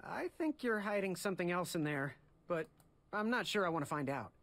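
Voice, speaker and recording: Lively, eager young hero. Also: male voice, sits high, brisk. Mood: awkwardly polite. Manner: polite, awkward, chipper. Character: friendly, eager young male hero, would-be knight. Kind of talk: animated video game acting.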